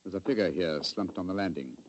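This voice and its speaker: An actor playing a keen, commanding English gentleman detective in a 1940s radio drama, crisp and authoritative. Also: low-pitched, brisk, lightly acted. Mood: grave, urgent. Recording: muffled, noisy 1940s radio broadcast.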